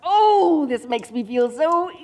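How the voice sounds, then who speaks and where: Woman playing a high-voiced dorky evil queen. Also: lively intonation, takes it slowly, darkish tone, a little hiss or hum. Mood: gleeful gloating. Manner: theatrical, gloating, mock-menacing. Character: dorky self-styled evil sorceress queen, gleeful and petulant. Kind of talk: comedic scripted audio roleplay addressed to listener.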